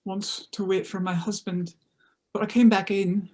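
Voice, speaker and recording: A woman's voice: mid-range voice, little pitch movement, measured pace, a little mellow, natural voice. A woman at a table read playing a frightened young pregnant chef in her twenties. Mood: shaken.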